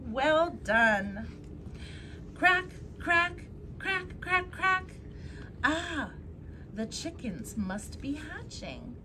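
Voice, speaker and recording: A young woman teacher leading an interactive storytime, animated and prompting children as she reads. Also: extremely high pitch. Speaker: teacher reading to preschool children. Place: classroom with children. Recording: noisy classroom ambience.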